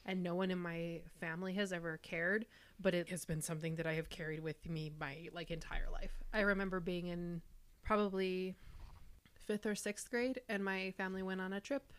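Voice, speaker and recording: A young woman with a naturally deep voice, co-hosting a podcast and candidly sharing personal stories in casual chat. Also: fairly even intonation, moderate pace. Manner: candid, reflective storytelling. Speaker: podcast co-host.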